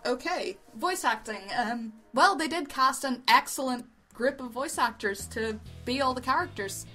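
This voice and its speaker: Female review co-host, high voice, giggly and unsure of herself, unscripted chat with music underneath. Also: normal speed, bright, forceful tone. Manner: giggly, tentative, self-deprecating. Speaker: amateur web show review co-host.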